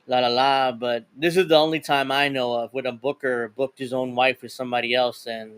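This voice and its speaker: A man's voice: medium pitch, flat delivery, brisk, fairly bright tone, clear, resonant tone. A man co-hosting a casual wrestling chat, rambling and laughing often. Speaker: podcast co-host.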